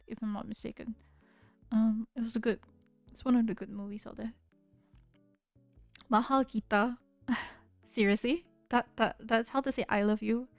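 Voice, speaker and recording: A woman's voice: on the high side, a little mellow, no room sound. A woman hosting a livestream, chatting casually with viewers at a desk microphone and often stammering over her words. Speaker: livestream host. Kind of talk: casual chat reading viewer messages. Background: faint background music.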